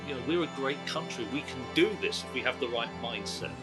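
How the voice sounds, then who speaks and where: A man's voice: mid-range voice, fairly quick. A man giving a reflective testimonial in a campaign video. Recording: music bed under interview.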